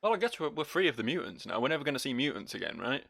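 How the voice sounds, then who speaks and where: A man's voice: medium pitch, big pitch swings, very fast, gravelly, a bit bright. A man giving casual, joking and sarcastic commentary as he plays a video game. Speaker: gaming video host.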